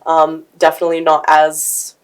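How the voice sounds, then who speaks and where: A woman's voice: low-pitched, completely flat delivery, moderate pace, clear, resonant tone, poor audio. A young woman of Fiji Indian heritage hosting a casual talk show, chatty and full of "um"s and "like"s. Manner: chatty, many "um"s and "like"s. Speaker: podcast host. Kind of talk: casual chat.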